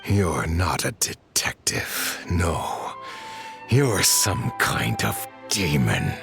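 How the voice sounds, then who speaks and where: An actor playing a bitter, shaken smuggler villain in a video game, gravelly, breathy, halting and dramatic. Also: male voice, sits low, sing-song, over music, exaggerated voice. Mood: shaken dread.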